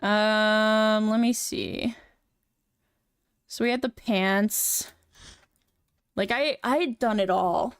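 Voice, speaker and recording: A woman's voice: mid-range voice, drawn-out words, pauses often, clean tone. Young female streamer, feminine voice, casual rambling chat with many fillers. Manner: rambling, filler-heavy, chatty. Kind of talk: casual chat while working on a model.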